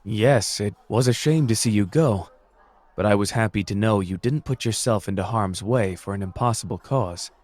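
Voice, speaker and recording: Man playing suave anime renegade sorcerer, lowish voice, measured formal coaxing, calmly manipulative, close dry roleplay audio. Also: dry recording, natural voice. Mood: smooth persuasion. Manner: measured, formal, gently persuasive.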